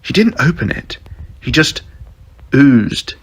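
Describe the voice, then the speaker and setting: A man's voice: medium pitch, very animated, slow, husky. A man reading a scripted fan fiction story as narrator, acting out dialogue as he goes. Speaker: storyteller, podcast host.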